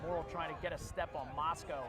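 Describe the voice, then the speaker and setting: Excitable Philadelphia-accented sports commentator. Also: male voice, a little high. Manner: quick, jokey, rising to excited shouts on big plays. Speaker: play-by-play commentator. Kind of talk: lacrosse play-by-play commentary. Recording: outdoor broadcast with loud crowd and noise.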